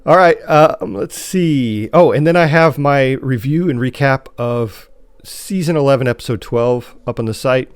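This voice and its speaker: A man's voice: mid-range voice, moderate pace, clear, resonant tone. An American man from Chicago co-hosting a podcast in casual conversation, informal and a little hesitant as he circles back mid-thought. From Chicago, United States. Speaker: podcast co-host. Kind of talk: casual chat about TV news.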